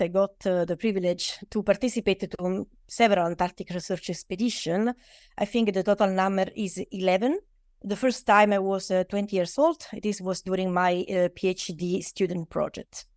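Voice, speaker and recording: A woman's voice: medium pitch, darkish tone, no room sound, studio quality. A middle-aged woman from Italy with an Italian accent, answering interview questions as an oceanographer, with hesitant non-native phrasing and frequent fillers. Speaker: guest, oceanographer.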